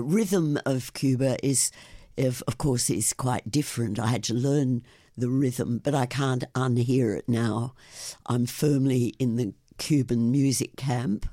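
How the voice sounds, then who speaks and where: An older woman, a guest on a radio interview, telling stories about her travels and her blog with warm enthusiasm. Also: a bass voice, no echo, studio quality. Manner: enthusiastic, animated storyteller. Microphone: studio microphone.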